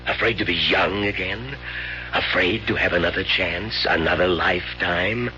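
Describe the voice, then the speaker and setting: An actor playing a mysterious, coolly persuasive stranger in an old-time radio drama, theatrical and insinuating. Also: male voice, deep voice, normal speed, pushed and bright. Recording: dull vintage radio recording with music.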